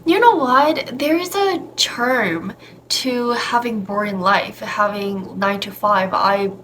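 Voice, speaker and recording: A woman's voice: mid-range voice, slow pace, a bit bright, a little hiss or hum. A young woman from Korea, a native Korean speaker talking in English, reflecting in a casual, chatty vlog with lots of filler words and restarts. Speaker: vlogger.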